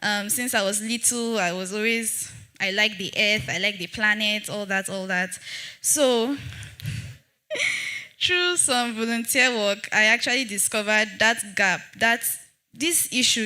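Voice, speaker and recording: A young woman from Nigeria, earnestly telling her founder story as a panelist at a public dialogue, with halting restarts. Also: medium pitch, bright, forceful tone. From Jos, Nigeria. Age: young adult. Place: event hall with audience.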